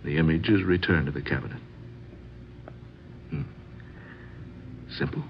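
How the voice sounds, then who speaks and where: Low-voiced, scornful husband. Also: male voice. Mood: smug, explaining. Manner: formal, deliberate, scornful. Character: sceptical, aggrieved husband, stiff and coldly insistent. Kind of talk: old-time radio drama acting. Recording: muffled, faintly noisy old radio recording.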